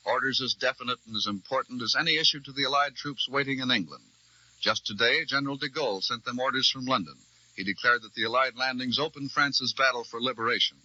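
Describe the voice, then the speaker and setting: An American man reading scripted news as a formal, measured radio correspondent in a 1940s broadcast. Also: medium pitch, sharp tone, dry recording. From United States. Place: radio newsroom. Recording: dull vintage 1940s radio broadcast recording.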